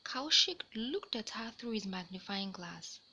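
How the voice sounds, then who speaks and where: A woman hosting a children's read-aloud, telling a storybook tale in an expressive, deliberate way. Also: medium pitch, breathy edge. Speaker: host reading stories aloud for children.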